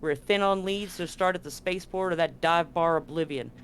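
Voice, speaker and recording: A man playing a gruff, profane Marine commander briefing a squad in a tabletop game, blunt and commanding. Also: fairly high pitch, fairly quick, a bit bright. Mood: stern briefing.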